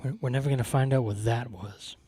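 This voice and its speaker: A man's voice: mid-range voice, fairly even intonation, fast talker, warm tone, slightly rough. A man co-hosting a podcast live stream in casual chat, joking around and reading out viewer comments. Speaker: podcast co-host.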